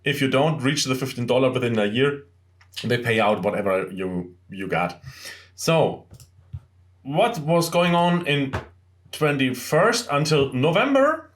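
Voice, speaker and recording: Man, from central Europe, English not his first language, earnest, plain-spoken, fillers and restarts, mid pitch, echoey room. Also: animated, measured pace. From small country in central Europe. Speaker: side-hustle vlogger. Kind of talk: casual screen-share explainer.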